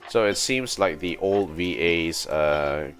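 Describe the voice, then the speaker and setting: A man giving casual livestream gameplay commentary, thinking aloud in a halting, muttering way. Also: low voice, a bit bright, soft background music. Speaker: game livestreamer.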